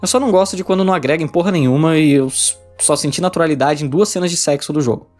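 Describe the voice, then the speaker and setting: A man's voice: on the high side, very fast, a little mellow. A Brazilian man narrating a scripted review as a video essayist, informal and opinionated. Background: background music.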